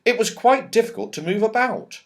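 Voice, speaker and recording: A man reading a children's storybook aloud, expressive and lively, with voices for the characters. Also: high voice, fairly quick, vocal fry. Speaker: audiobook reader.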